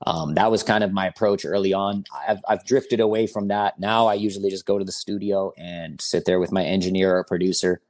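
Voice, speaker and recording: A man's voice: sits low, steady tone, a little fast, few pauses, darkish tone, no echo. A man in his forties, a rapper guest in a casual interview, talking in a laid-back rambling way full of fillers and slang. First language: German or Italian. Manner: laid-back, rambling with fillers, says "bro" and "you know what I mean".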